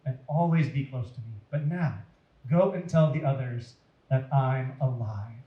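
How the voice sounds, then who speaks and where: A man voicing a gentle, loving savior who speaks tenderly in a storybook. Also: mid-range voice, unhurried, reverberant, narrow, tinny audio, pitched below the performer's own voice, close to an everyday voice. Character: gentle, loving male savior consoling a friend.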